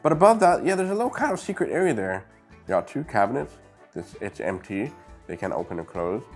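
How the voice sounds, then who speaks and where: A man's voice: mid-range voice, big pitch swings, a little fast, soft background music. A middle-aged man with a slightly sniffly nose gives a casual, upbeat toy review, speaking straight to his viewers on a clip-on mic. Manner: chatty, upbeat, talks to viewers directly. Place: indoor room lined with shelves of brick sets. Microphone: clip-on lapel mic.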